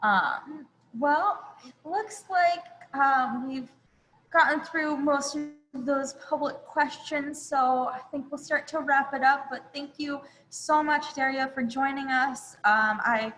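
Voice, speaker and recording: A woman's voice: high-pitched, slow, a bit bright. A woman hosting a web chat, friendly and laughing often as she asks questions. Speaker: host. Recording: long reverb, faint noise.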